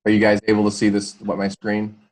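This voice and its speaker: A man with a deep voice presents a product on a conference call. His delivery is informal and halting, with hedges and reworked phrases. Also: completely flat delivery, a little mellow.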